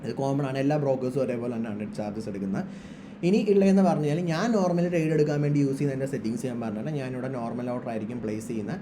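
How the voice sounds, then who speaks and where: A man's voice: mid-range voice, steady tone, racing, noisy background. A man giving an informal, instructional screen-share trading tutorial, mixing English terms into his speech. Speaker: trading tutorial presenter, trader.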